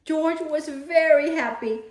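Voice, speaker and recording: A woman's voice: high voice, slow pace, a little mellow, roomy sound. A woman hosting a children's read-aloud, speaking in simple, direct phrases as if to young listeners. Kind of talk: expressive storybook read-aloud and talk addressed to children.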